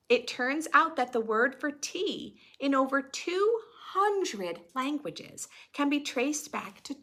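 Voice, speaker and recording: A woman hosting storytime, reading a picture book aloud to preschoolers in a gentle, expressive way and explaining it simply. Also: on the high side, gravelly. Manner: gentle, expressive, addressing young children with questions. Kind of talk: children's read-aloud with simple explanations and questions.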